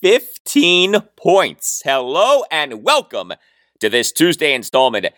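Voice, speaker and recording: A man's voice: fairly high pitch, big pitch swings, slow pace, fairly bright tone, no echo, clean studio sound. An American man hosting a sports podcast, delivering commentary emphatically and stretching out words for effect.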